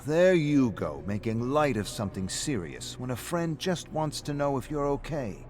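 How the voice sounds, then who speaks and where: A man's voice: mid-range voice, sing-song, moderate pace, a little mellow, pitched above the performer's own voice, natural voice. A man voicing a blunt, needling male drinking buddy in a scripted game story, taunting yet earnest.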